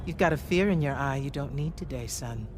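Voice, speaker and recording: A man's voice: medium pitch, a little fast, close to an everyday voice. A man acting an authoritative older general amid noise. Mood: steady, reassuring. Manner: authoritative, terse orders. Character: seasoned male army general, older, confident and commanding. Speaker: military commander. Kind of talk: video game cutscene acting.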